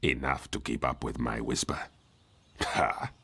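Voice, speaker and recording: An actor playing an arrogant, domineering champion fighter in a fantasy video game, boastful and condescending. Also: male voice, very deep voice, fairly even intonation, a little slow, pushed and bright, breathy edge, exaggerated voice. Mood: haughty.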